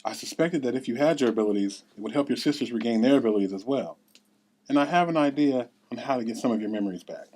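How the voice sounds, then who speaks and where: A man's voice: mid-range voice, fast, dark tone, lightly acted. A man reading the part of a solemn exiled council elder in an earnest, expository way at a script table read. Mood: grave, explanatory.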